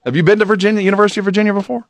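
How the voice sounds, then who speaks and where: A man's voice: high-pitched, steady tone, rapid-fire, dry recording. A man hosting a sports radio show, chatting casually and putting questions to a guest. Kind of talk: casual interview chat.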